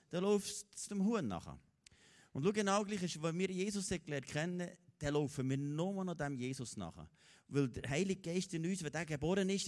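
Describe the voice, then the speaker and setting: A man in his fifties with a Swiss German accent, preaching a lively sermon as a guest speaker, joking and rallying the congregation. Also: a little high. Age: 50s. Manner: exuberant, joking, rallies the crowd.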